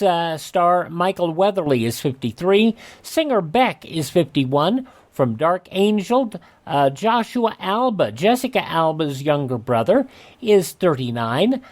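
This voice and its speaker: A man hosting a radio program, talking in an announcer-like way as he reads out items and pitches. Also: darkish tone. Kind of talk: radio hosting and live-read ads.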